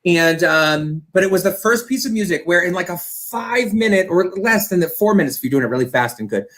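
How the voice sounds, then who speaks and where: A man's voice: high voice, lively intonation, a little fast, a bit bright. A man speaking as a co-host on a music panel, giving opinions casually and emphatically, piling up descriptive words. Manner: emphatic, enthusiastic, piles up adjectives.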